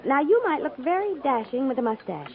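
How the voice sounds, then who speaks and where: An actress playing a coy, homespun storekeeper's daughter in a 1950s radio western, gently teasing. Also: female voice, high voice, very animated, fairly quick. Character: warm, teasing, capable frontier storekeeper's daughter. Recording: narrow-band, noisy 1950s radio recording.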